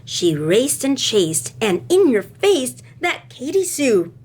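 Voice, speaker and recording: A woman teacher reading a picture book aloud expressively and explaining it to children, with deliberate phrasing and questions. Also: on the high side, sing-song, darkish tone, slightly rough.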